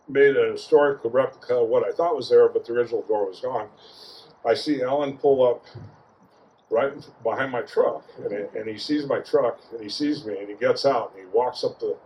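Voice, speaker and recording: A man giving an informal, anecdotal tribute at a memorial, speaking haltingly and repeating words. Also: mid-range voice. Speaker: memorial speaker, friend of the deceased. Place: outdoor garden gathering. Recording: dull lo-fi audio with clear noise.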